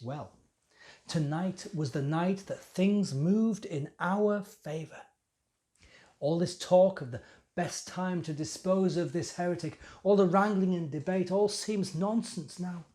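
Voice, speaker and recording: A man's voice: high voice, slow pace, mellow, pitched above the performer's own voice. Man playing a rattled, scheming young temple priest. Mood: smug, relieved. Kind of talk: dramatic monologue.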